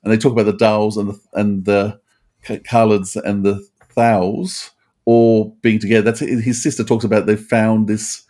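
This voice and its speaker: A man's voice: lowish voice, level tone, moderate pace, warm tone. A man presenting informative commentary on a podcast.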